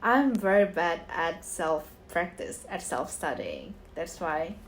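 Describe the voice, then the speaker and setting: A woman's voice: fairly low pitch, big pitch swings, takes it slowly, a little hiss or hum. A young woman chatting casually as a vlogger, laughing often between her sentences. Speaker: former voice acting student vlogging. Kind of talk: casual chat. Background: background music.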